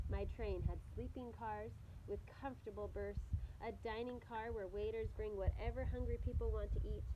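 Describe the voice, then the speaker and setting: A haughty shiny passenger engine from a children's storybook, played by a woman reading aloud. He is snooty and boastful, looking down on others. The home recording is clearly noisy. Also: mid-range voice, narrow, tinny audio, close to an everyday voice.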